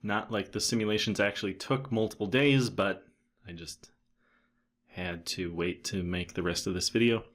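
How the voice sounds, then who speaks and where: A man in his thirties giving a casual, matter-of-fact software tutorial, thinking aloud as he goes. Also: lowish voice, moderate pace. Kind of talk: casual screen-recorded tutorial narration.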